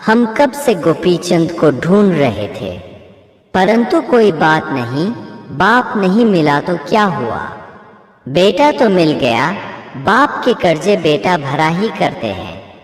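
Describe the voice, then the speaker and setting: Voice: medium pitch, big pitch swings, slow. The narrator voicing a sly one-eyed village swindler man who sweet-talks in a wheedling way. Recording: echoey room with long reverb.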